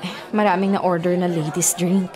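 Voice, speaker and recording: A woman's voice: fairly low pitch, little pitch movement, normal speed, crowd noise, natural voice. A woman acting a streetwise, griping, card-loving bar waitress in a Filipino radio drama, talking colloquially.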